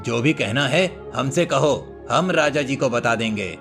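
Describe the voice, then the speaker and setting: Man as cartoon palace guard, medium pitch, bossy, haughty, dismissive, faint music behind. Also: lively intonation, unhurried. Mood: scornful.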